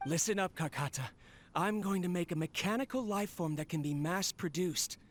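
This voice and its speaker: A man's voice: high voice, moderate pace, a little hiss or hum, natural voice. A man voicing a proud, ambitious researcher in a video game, grandiose as he addresses his machine. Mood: proud, hopeful. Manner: grandiose, declaiming.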